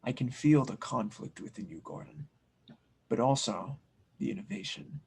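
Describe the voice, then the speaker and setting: Man playing a soft, gravelly wise mystic. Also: medium pitch, very animated, exaggerated voice. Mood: serene. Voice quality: soft, hushed. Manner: slow, cryptic, measured. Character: wise, serene, mystical old blacksmith stranger. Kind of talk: fan audio drama table read.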